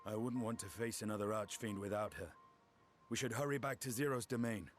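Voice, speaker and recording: A man's voice: fairly low pitch, fairly even intonation, fairly quick, close to an everyday voice. An actor playing a steadfast, level-headed male warrior in a video game, speaking formally and tersely with composure. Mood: wary. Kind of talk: video game cutscene acting. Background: game music.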